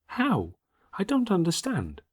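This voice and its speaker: A man's voice: sits high, wildly swooping pitch, slow pace, darkish tone, breathy edge, pitched above the performer's own voice, heavily acted. A man voicing a passionate, defiant young girl in an audiobook, speaking urgently and emphatically in formal Victorian diction. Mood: indignant. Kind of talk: audiobook character acting.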